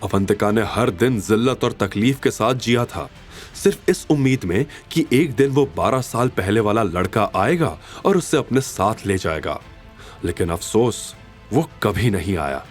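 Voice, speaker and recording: A man narrating a scripted story in a dramatic, expressive and suspenseful manner. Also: fairly low pitch, unhurried, soft background music.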